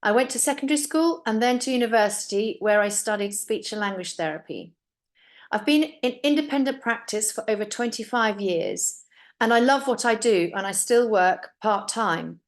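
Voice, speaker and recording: A woman's voice: on the high side, expressive, moderate pace. A middle-aged woman originally from Finland giving an earnest, upbeat sales presentation about a business opportunity, speaking personally to her audience.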